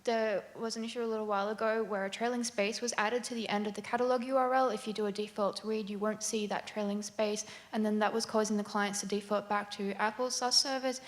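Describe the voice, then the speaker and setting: A woman in the audience giving a brisk, matter-of-fact technical tip. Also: mid-range voice, steady tone. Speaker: audience member.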